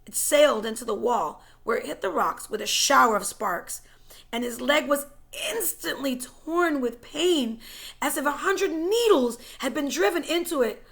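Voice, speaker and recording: A woman reading a story aloud in a dramatic, suspenseful way, moving between hushed whispers and forceful, louder phrases. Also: sits high, normal speed, gravelly. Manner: suspenseful and expressive, dropping to whispers and rising to loud, forceful phrases. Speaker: teacher reading a book chapter aloud.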